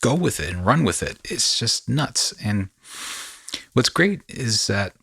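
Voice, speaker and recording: A man's voice: mid-range voice, sing-song, measured pace, gravelly, fairly bright tone, slightly rough, no room sound, clean studio sound. A man hosting a podcast, talking in a casual, rambling storytelling way with slangy asides. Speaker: podcast host.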